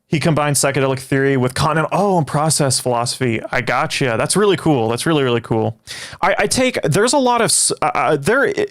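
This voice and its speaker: A young man, a native Greek speaker, chatting with his livestream audience in a rambling, halting way with many restarts. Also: medium pitch, fairly bright tone, clear, resonant tone. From Greece. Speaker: livestream host. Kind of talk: casual livestream chat.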